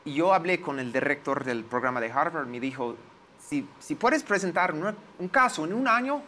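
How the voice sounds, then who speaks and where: A man in his forties with an American accent, a native English speaker, recalling his experiences in an interview and pausing to search for words. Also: fairly high pitch, lively intonation, pushed and bright, a little hiss or hum. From United States. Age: 40s. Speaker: lawyer, interview guest. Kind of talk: interview, personal recollection.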